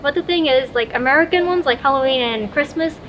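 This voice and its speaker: High-voiced young woman, chatty and giggly. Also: brisk, fairly bright tone, slight background noise, low-quality recording. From Florida. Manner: chatty, giggly, trips over words. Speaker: guest. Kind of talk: casual conversation.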